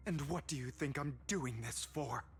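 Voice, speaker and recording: A man's voice: mid-range voice, moderate pace, close to an everyday voice. A man playing a defiant, bitter young aristocrat antihero in a dramatic video game scene. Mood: bitter defiance. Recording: music under the voice.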